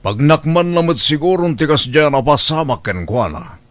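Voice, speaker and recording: A man's voice: a little high, animated, normal speed, dry recording, close to an everyday voice. A man playing a stern, emphatic father in a radio drama, with an Ilocano Filipino accent. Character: stern father of a household wronged by theft.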